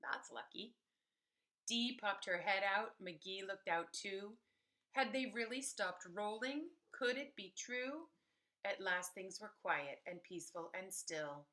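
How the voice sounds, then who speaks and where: A woman reading a story aloud to young children like a preschool teacher, animated and emphatic, pausing to explain things. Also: mid-range voice.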